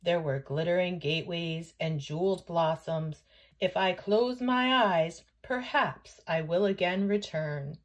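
A woman's voice: fairly low pitch, lightly acted. Woman putting on a grumbling, dreamy old man. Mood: dreamy wistful. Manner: slow, grumbling, wistful. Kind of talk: children's storybook reading.

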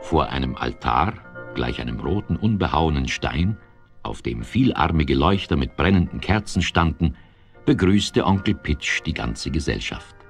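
A man's voice: very deep voice, lively intonation, unhurried, gravelly, faint music behind, heavily acted. A man narrating a children's audio play as a scripted storyteller.

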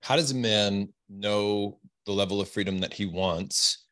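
A man's voice: on the low side, unhurried, fairly bright tone. A man in his forties casually interviewing a guest as a podcast host. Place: indoor room, video call.

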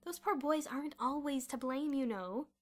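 A woman voicing a calm, patient middle-aged wife who gently reproves, in a storybook narration. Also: high-pitched, moderate pace, pitched above the performer's own voice, close to an everyday voice. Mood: soothing. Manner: soothing, patient.

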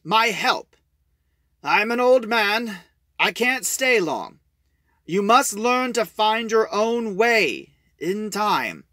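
Man playing principled old male adventurer from an audio drama, raised pitch, declamatory, forceful. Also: no room sound, studio quality, full character voice. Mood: solemn, loud.

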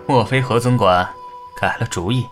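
Male actor as polite, serious period negotiator, medium pitch, light music underneath, radio play. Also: normal speed, natural voice. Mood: courteous. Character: courteous, earnest negotiator of ancient China. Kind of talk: Chinese costume audio drama acting.

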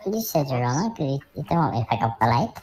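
A woman's voice: extremely low pitch, sing-song, quick pace, a crowd in the background. A woman chatting casually with a group while playing an online game. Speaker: online gamer.

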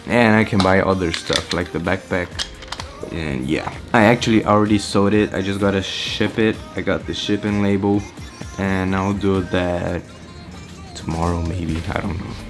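A teenage boy from Brazil, Portuguese native, chatting excitedly in a casual vlog update from his small bedroom, rambling and restarting often. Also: sits low. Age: teens. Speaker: vlogger. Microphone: camera-mounted shotgun mic.

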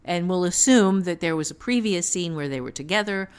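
A woman giving unscripted teaching talk on a podcast, thinking aloud in a halting manner. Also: on the low side, lively intonation, clean tone. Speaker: writing teacher, author.

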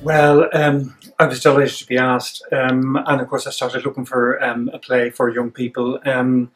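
An Irish man in his sixties, a theatre director, talking reflectively in an interview and pausing mid-sentence to find his words. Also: medium pitch, flat delivery, faint music behind, rough recording.

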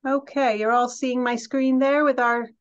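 A woman's voice: high voice, flat delivery, a little slow. A middle-aged woman from England, a college president speaking as a guest on a video call, in a friendly, chatty way with many ums and restarts. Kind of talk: casual talk on a video call. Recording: dull lo-fi video call audio.

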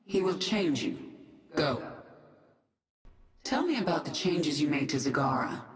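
Female game lead: ruthless alien swarm queen, low-pitched, cold and imperious, echoing sound. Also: very animated, unhurried. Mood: cold, controlled. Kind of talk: video game cutscene acting.

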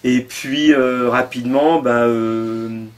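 A man's voice: mid-range voice, steady tone, takes it slowly. French guy, middle-aged, rambling on with lots of euh, medium voice, home studio. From France. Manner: rambling, many fillers and trailing asides. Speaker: guitarist. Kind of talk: casual interview answers. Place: home music studio, indoors.

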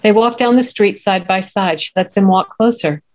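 A woman's voice: medium pitch, normal speed, a little mellow. Middle-aged woman reading stage directions. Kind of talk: scripted narration. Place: room at home. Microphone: video call.

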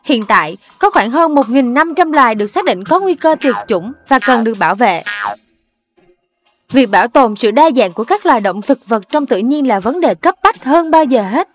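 A woman's voice: on the high side, animated, moderate pace, faint music behind, lightly acted. A woman playing a serious narrator, reading a scripted informational report in a cartoon. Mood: serious, informative.

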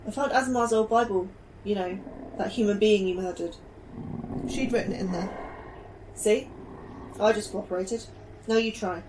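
A woman's voice: mid-range voice, normal speed, pauses often, close to an everyday voice. A woman playing an anxious, guilt-ridden astrobiologist in a sci-fi horror audio drama. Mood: defiant. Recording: clear background noise and ambience.

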